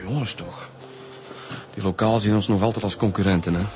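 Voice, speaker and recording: An actor playing an easygoing, slightly evasive homicide detective, explaining hesitantly and colloquially with a Flemish accent in a radio drama. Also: male voice, on the low side, steady tone, fairly quick, lightly acted. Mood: matter-of-fact, irritated. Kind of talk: naturalistic radio drama dialogue. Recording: radio drama with music bed.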